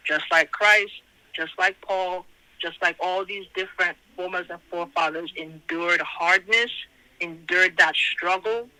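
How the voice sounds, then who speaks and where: A slightly hoarse man giving an informal Bible study over a conference phone line, reading verses aloud and explaining them in hesitant, repetitive phrasing. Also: a little high, sharp tone, no room sound.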